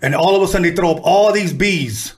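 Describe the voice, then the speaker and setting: A husky-voiced man, interviewing and sharing anecdotes in casual chat. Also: high-pitched, a little fast, a little hiss or hum. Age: 50s. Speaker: radio interviewer, music producer.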